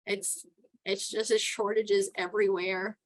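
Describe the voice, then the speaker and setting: A woman's voice: medium pitch, little pitch movement, a little slow, low-quality recording. A middle-aged woman from New York with a Texan accent, chatting casually as an interview guest and laughing often. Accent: Texan with some New York. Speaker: guest, towboat port captain.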